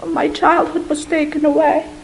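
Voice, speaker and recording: High-pitched young woman from Bosnia, earnest testimony, slow with long pauses. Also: flat delivery, gravelly, a little hiss or hum, poor audio. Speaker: guest giving a testimony.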